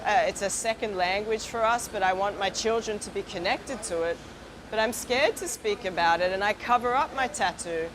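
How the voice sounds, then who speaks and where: A woman's voice: medium pitch, moderate pace, a crowd in the background, poor audio. A woman interviewed outdoors on a city street, talking earnestly and hesitantly. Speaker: interviewee, campaigner.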